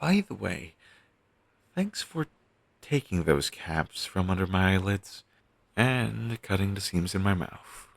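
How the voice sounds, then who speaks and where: Man voicing undead best friend, low gravelly voice, weary, caring, casual halting delivery, intimate audio roleplay, close dry sound. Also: expressive, dry recording, exaggerated voice. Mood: wry, casual. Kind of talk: audio roleplay, intimate one-sided dialogue.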